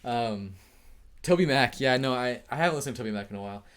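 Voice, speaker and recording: Casual male streamer answering chat questions, energetic and friendly, often laughing. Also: medium pitch, fast, slight background noise. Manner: upbeat, chatty, laughs often. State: overheated. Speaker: tech YouTuber hosting a live Q&A.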